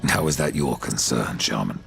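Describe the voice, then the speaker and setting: A man playing a grim, sardonic horseman of death in a dark fantasy game, with a gravelly rasp and a terse, menacing delivery. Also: a bass voice, animated, normal speed, over music, full character voice. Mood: terse, probing.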